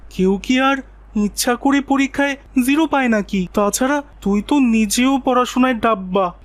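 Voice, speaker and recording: A woman's voice: sits high, dry recording, close to an everyday voice. Woman voicing an earnest schoolboy, close and dry. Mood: reproachful. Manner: earnest, reassuring. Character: kind, principled schoolboy, protective friend. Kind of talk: children's moral cartoon dubbing.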